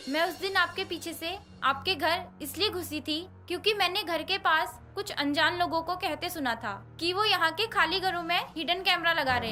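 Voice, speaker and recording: A woman voicing a brave, caring young tenant daughter-in-law in a storybook tale, over soft background music. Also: extremely high pitch, exaggerated voice. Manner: eager, reassuring.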